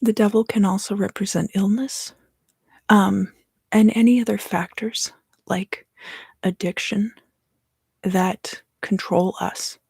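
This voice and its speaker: A woman giving an ASMR tarot reading in a soft, unhurried whisper. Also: mid-range voice, steady tone, creaky, slightly rough, dry recording. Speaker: tarot reader and ASMR host.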